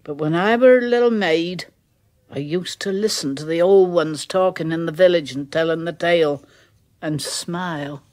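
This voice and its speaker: A woman's voice: lowish voice, moderate pace, a little mellow, lightly acted. An actress playing an uneasy older village woman in a radio drama, talking in rustic dialect and often dropping to a whisper. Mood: ominous. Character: older village woman, a nervous, superstitious local. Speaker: village neighbour.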